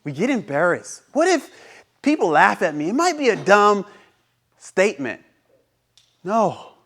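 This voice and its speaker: A man from Haiti who grew up speaking Haitian Creole delivers a motivational club speech. His voice sits high and leaps around in pitch, jumping from near shouts to soft, hushed lines. He asks questions to the room and then answers them himself. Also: sing-song, measured pace. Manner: dramatic, swings between loud and hushed, rhetorical questions. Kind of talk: prepared inspirational speech.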